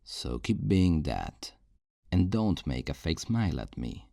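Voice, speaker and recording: A man's voice: extremely low pitch, very animated, gravelly, warm tone, dry recording, clean studio sound, exaggerated voice. A man playing a possessive, falsely tender kidnapper in an intimate ASMR roleplay, coaxing and condescending with veiled menace. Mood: quietly threatening. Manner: slow, coaxing, condescending endearments with veiled threats.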